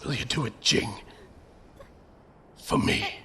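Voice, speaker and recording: A man playing a gentle, resolute young soldier captain who is dying, speaking weakly and haltingly in an audio drama. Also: a little hiss or hum. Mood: pleading, grieving. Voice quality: weak, creaky, breathy pauses. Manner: halting, laboured delivery.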